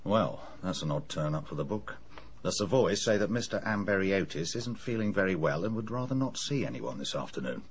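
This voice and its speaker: A dogged police inspector in a radio play. He is blunt and brisk in a fairly low voice, with faint ambience behind him. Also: animated, slight background noise. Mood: determined. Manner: blunt, businesslike. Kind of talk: radio drama acting.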